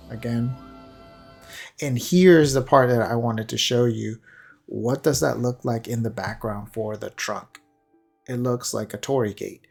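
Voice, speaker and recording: Guy doing game commentary, mid-range voice, thinking out loud and explaining, bit of music behind. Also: mid-range voice. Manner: musing, tentative, explanatory. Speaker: gaming commentator. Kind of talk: unscripted video commentary on a game trailer. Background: faint music.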